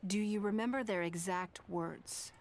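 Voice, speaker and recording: An actress playing a composed, authoritative lady in a video game, speaking in a measured, articulate way. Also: medium pitch, a little hiss or hum, close to an everyday voice. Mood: gentle, reassuring. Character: poised, composed adult lady of authority, kindly but stern.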